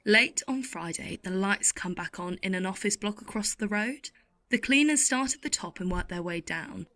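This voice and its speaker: A woman playing a middle-aged, world-weary waitress in an audio play, telling her story in an intimate, sardonic, deliberate way. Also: mid-range voice, expressive, fairly bright tone, natural voice. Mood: wry, deadpan. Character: world-weary, wry older waitress in a late-night cafe. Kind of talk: audio play monologue with acted dialogue.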